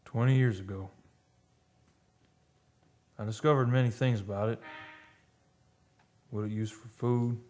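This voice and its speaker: An actor playing a haunted, obsessed oil driller confessing a horror in a halting, brooding radio monologue. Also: male voice, medium pitch, fairly even intonation, warm tone, lightly acted. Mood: anguished, horrified. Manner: halting, brooding, dramatic storytelling.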